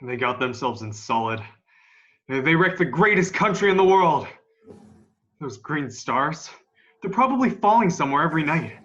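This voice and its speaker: A young man playing a grim, defiant militia survivor of an alien invasion in an amateur radio play, with a folksy, halting, emphatic delivery. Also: a little high, big pitch swings, a bit bright. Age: young adult. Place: room at home. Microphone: video call. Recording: dull, lo-fi video call.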